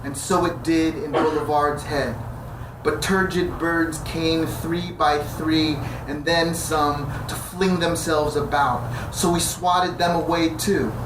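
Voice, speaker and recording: Man, poet, measured reading, hesitant storytelling, roomy distant sound, background noise. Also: on the high side, fairly even intonation. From New Jersey. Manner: measured reading with dramatic slowing on key phrases; conversational storytelling with hesitant fillers between poems. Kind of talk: poetry reading with spoken introduction.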